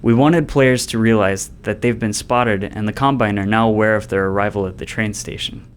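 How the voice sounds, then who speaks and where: A somewhat low-voiced man reads scripted developer commentary. There is faint ambient noise behind him as he explains design decisions in prepared sentences. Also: fairly even intonation, normal speed. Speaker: game developer.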